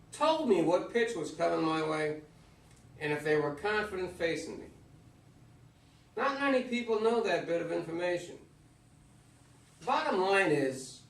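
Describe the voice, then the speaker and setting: An actor in a staged play reading, playing an avuncular, plainspoken retired baseball legend who gives folksy advice. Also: male voice, high-pitched, gravelly, heavily acted. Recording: roomy stage, far from microphone.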